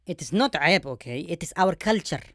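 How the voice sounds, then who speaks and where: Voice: medium pitch, expressive, quick pace, fairly bright tone, no room sound, clean studio sound, close to an everyday voice. A performer voicing a stubborn, naive puppet man with a Somali accent, blunt and insistent, in a comedy puppet show.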